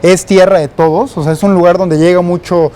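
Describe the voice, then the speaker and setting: A man hosting a street interview, greeting viewers and drawing out his guest with friendly questions and steady backchannel. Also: sits high, fairly quick, a little hiss or hum. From Mexico. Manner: friendly, encouraging, frequent backchannel. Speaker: host. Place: outdoors on a city street.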